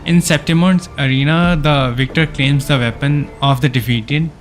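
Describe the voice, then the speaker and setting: A man voicing a stern male mentor from a fantasy game, reading the part aloud in a solemn, deliberate way. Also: mid-range voice, steady tone, faint music behind, natural voice. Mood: solemn, challenging. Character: stern male arena mentor challenging a young fighter.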